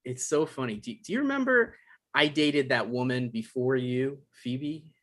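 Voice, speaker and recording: A man's voice: medium pitch, animated, normal speed, fairly bright tone, lightly acted. A man playing an evasive, defensive guy in a table read, stammering and hedging in an awkward conversational way. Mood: flustered, apologetic. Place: online live stream table read.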